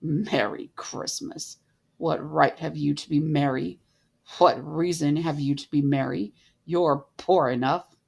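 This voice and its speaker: A woman voicing a miserly, bitter old man in a storybook reading, with gruff, scornful retorts. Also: low voice, fairly even intonation, slow pace, a little mellow, voice pushed down, exaggerated voice.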